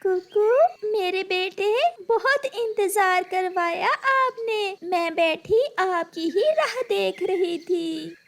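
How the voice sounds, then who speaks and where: Woman voicing a high-pitched, gentle mother bird. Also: slow pace, gravelly, hardly any pauses, slight background noise, heavily acted. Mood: tender, longing. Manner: tender, forgiving, blessing. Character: gentle, self-sacrificing mother bird, devoted to her son. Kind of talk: Hindi cartoon storytelling.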